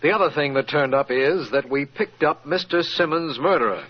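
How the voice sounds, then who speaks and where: A man's voice: on the high side, expressive, moderate pace. A man playing a confident, businesslike crime investigator in an old-time radio detective drama. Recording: muffled old radio recording.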